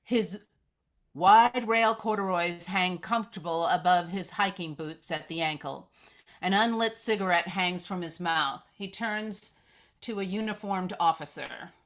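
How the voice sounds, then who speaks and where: A woman's voice: fairly low pitch, unhurried, a bit bright. A woman narrating the action of a screenplay at a live read, descriptive, occasionally stumbling over the text. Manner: descriptive, reading aloud with stumbles. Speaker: stage-direction narrator.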